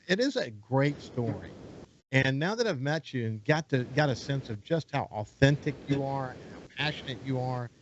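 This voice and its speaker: Brief: hoarse male radio host, rambling and relaxed, dull lo-fi sound with faint hiss. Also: mid-range voice. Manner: relaxed, rambling, self-correcting. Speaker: radio talk show host. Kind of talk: casual interview.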